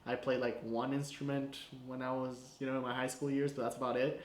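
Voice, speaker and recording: A young man talks through his filmmaking in a loose, chatty way, leaning on "like" and "you know". His voice sits at an ordinary middle pitch for a man. The sound carries a roomy echo. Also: little pitch movement, a little fast, slight background noise. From Guatemala. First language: Spanish. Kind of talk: casual breakdown of his short film. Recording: echoey room.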